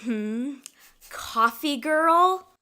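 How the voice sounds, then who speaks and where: A curt young coffee shop worker in an audio drama. The voice is bright and forceful, rising and falling expressively, with a pert, easily offended manner. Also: female voice, sits high, lively intonation, very slow, exaggerated voice. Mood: indignant. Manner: pert, indignant customer-service talk.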